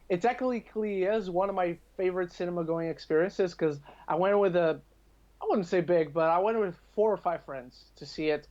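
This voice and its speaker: A man from Portugal chatting casually about films, telling stories in an animated, emphatic way as a podcast guest. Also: on the high side, dull audio. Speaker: podcast guest and film reviewer. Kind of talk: casual film chat.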